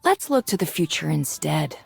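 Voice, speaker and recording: A woman voicing a mature, resolute and idealistic swordswoman in a video game, composed and earnest in her measured delivery. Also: low voice, big pitch swings, gravelly, husky, dry recording, faint music behind, full character voice. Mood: solemn, reflective. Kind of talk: scripted video game character lines.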